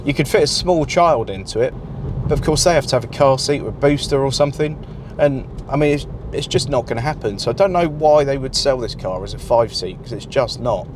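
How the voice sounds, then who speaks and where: Croaky British man reviewing his car. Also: mid-range voice, brisk. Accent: British English. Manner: chatty, wry, grumbling about small gripes. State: croaky and nasal from a cold. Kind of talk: casual car review vlog. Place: driving a car on suburban roads. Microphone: clip-on lapel mic. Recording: loud car noise in background.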